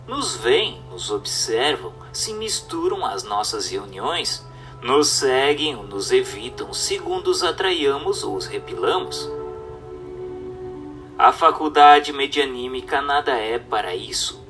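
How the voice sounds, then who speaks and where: A man's voice: mid-range voice, big pitch swings, slow pace, a bit bright, recorded from a distance, faint music behind. A man narrating a scripted radio drama adaptation of a book, reading in an emphatic, declamatory way.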